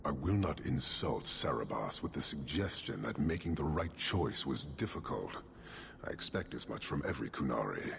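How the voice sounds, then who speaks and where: Very deep, gravelly warrior commander. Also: male voice, sing-song, a bit bright, a little hiss or hum, full character voice. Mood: stoic, grave. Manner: terse, formal, measured, disdainful. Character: stern, imposing horned warrior commander of a foreign creed. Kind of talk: video game cutscene acting.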